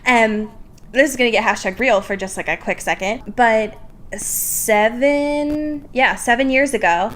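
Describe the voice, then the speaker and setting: Girl early 20s on a podcast, kinda high voice, chatty n wry, swears a bit, makes fun of herself. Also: unhurried, a bit bright. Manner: informal, self-deprecating, wry, swears casually. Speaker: podcast co-host. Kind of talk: casual chatty book discussion.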